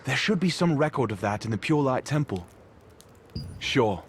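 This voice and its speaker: An actor playing an earnest, studious mage investigator in a video game, speaking in a thoughtful, articulate way. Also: male voice, mid-range voice, lively intonation, normal speed, a little hiss or hum, natural voice.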